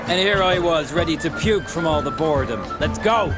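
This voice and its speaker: A man playing a rowdy, higher-voiced island warrior. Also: lively intonation, normal speed, lightly acted. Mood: boisterous. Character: rowdy, hearty island feast-going warrior. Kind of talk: video game cutscene acting. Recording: loud music and crowd behind.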